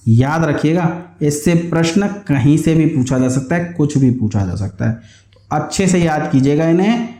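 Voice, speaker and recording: A man's voice: fairly high pitch, a little mellow, roomy sound. A man teaching an exam history class online, explaining step by step, asking questions and answering them himself while repeating key terms.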